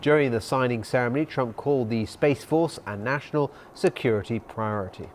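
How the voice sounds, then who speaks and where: Man news anchor, medium-pitched voice. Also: a little fast, slight background noise. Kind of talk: scripted news reading.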